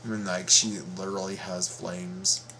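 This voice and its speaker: A man hosting a reaction video, commenting casually in a halting, stammering way and repeating his words. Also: sits low, little pitch movement, pushed and bright, slight background noise.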